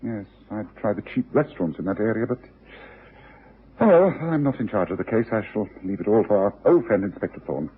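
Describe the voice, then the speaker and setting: An actor playing a bored, brilliant, self-assured London detective in a radio drama, with a British accent and a husky voice. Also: male voice, on the low side, animated, a little fast. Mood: musing, intent. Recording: thin, narrow-band old radio recording.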